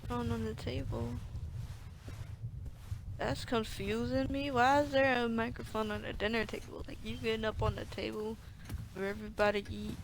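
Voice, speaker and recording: High-voiced woman gamer, noisy background. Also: little pitch movement, normal speed, gravelly. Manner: colloquial, talks back to the game. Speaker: gaming streamer playing through a horror game. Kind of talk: casual playthrough commentary, reading game text aloud.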